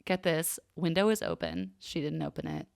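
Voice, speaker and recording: A woman's voice: sits low, very animated, moderate pace, vocal fry, a little mellow, clean tone. A woman podcast co-host discussing a TV show casually with friends, informal and slangy, often saying "like". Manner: informal, slangy, heavy use of "like".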